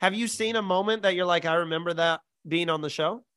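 A man's voice: high-pitched, fast talker, fairly bright tone, clear, resonant tone, no room sound, clean studio sound. A man hosting a podcast, chatting casually in an upbeat way and laughing often. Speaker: podcast host. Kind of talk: casual chat.

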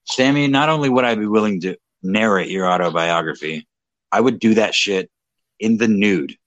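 A man's voice: fairly low pitch, normal speed, a bit bright, dry recording, muffled recording. A young man in casual livestream chat, slightly drunk, rambling, swearing and mocking himself. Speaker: livestream co-host.

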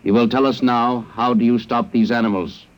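An actor playing a coldly logical alien interrogator in a radio drama, speaking in stilted, formal, emotionless phrases. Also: male voice, mid-range voice, fairly even intonation, moderate pace, lightly acted. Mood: clinical, insistent. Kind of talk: 1950s radio drama acting. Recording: muffled vintage radio recording.

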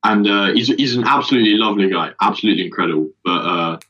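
A man's voice: lowish voice, fairly even intonation, rapid-fire, sharp tone, distant mic, highs cut off. A British man in a casual group chat, jokey and easygoing. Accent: British English. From England.

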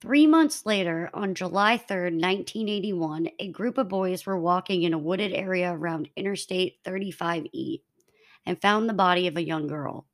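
A woman's voice: lowish voice, moderate pace. A young woman co-hosting a casual podcast, telling a story in a matter-of-fact way to her friends. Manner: matter-of-fact, laughs between lines. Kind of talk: reading a case aloud to co-hosts.

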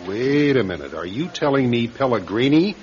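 A man's voice: mid-range voice, sing-song, normal speed, natural voice. An American man acting as a skeptical, blunt, authoritative police captain in a radio crime drama. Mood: skeptical, probing. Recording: dull, lo-fi radio recording.

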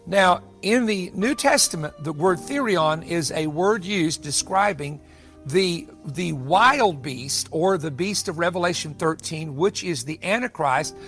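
High-pitched man teaching Bible prophecy. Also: lively intonation, measured pace, fairly bright tone, soft background music. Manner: explanatory, emphatic, restating points. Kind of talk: sermon-style Bible teaching, partly off the cuff.

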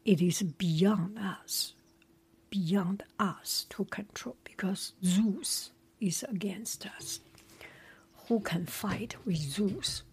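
A woman, not a native English speaker, whispering a relaxed chat and reading a story aloud with many hesitations. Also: mid-range voice, drawn-out words.

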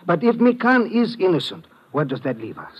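A man's voice: high-pitched, huge pitch swings, brisk, slightly rough, full character voice. A man playing a stern, formal police lieutenant from abroad in a 1950s radio drama, speaking precisely and without contractions. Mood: grave. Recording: dull old radio broadcast.

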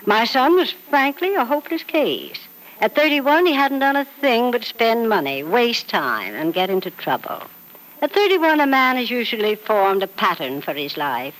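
A woman's voice: a little high, big pitch swings, normal speed, fairly bright tone, rough recording. An actress playing a frank, doting, wealthy elderly mother in an old radio soap, speaking with emphatic, theatrical affection. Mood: emphatic praise. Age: older. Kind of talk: 1940s radio soap opera acting. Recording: old radio drama recording.

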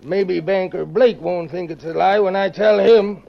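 Gravelly scheming old western uncle. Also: male voice, high-pitched, unhurried. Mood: sneering, threatening. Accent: American. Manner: folksy western drawl, wheedling and sneering. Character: scheming older freeloading uncle, bitter and menacing western blackmailer. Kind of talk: old-time radio western acting. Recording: muffled vintage radio recording.

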